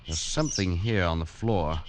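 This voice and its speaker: A man's voice: deep voice, moderate pace, close to an everyday voice. A man playing a wary, seasoned colonial bush hand in an old radio drama, grim and clipped in manner. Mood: grim foreboding. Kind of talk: 1940s radio acting. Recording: noisy vintage radio recording.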